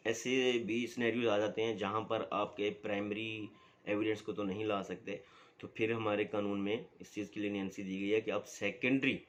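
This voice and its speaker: A man lecturing on law. He explains step by step, asks a question and then answers it himself. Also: medium pitch. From Pakistan. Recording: dull lo-fi recording.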